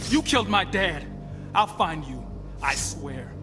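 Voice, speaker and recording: Furious young man in a horror game, high strained defiant voice, music under it. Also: expressive, measured pace. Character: furious, trapped young male reporter vowing revenge.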